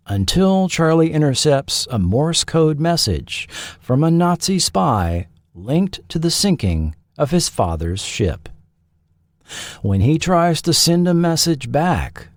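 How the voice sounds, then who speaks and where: A man delivers scripted documentary narration as host. His voice sits at a middle pitch with lively inflection, and each phrase is measured and articulate. The recording is close and dry. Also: no echo.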